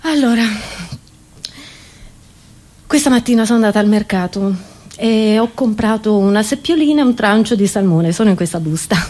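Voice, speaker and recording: A woman comedian performing a theatrical comic monologue in an animated manner, as if talking to a child. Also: mid-range voice, normal speed, long pauses. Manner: animated, addressing an imaginary child.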